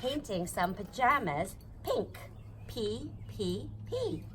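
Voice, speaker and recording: A woman's voice: a little high, very animated, slow, a bit bright. A woman hosting a children's storytime, reading a picture book aloud outdoors in a sing-song, repetitive way. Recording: noisy outdoor ambience.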